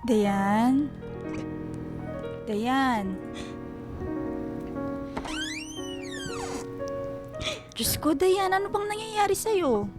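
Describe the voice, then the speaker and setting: A high-pitched loyal female best friend, fussing and protective. She exclaims in a radio drama manner, with music underneath. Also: drawn-out words. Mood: worried. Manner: animated, exclaiming, scolding concern.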